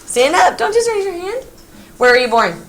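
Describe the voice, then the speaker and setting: A woman from Texas teaching a geometry class, lively and playful as she coaxes her students along. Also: very high-pitched, moderate pace. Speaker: high school geometry teacher. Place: classroom, recorded from the back. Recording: echoey classroom, faint room noise.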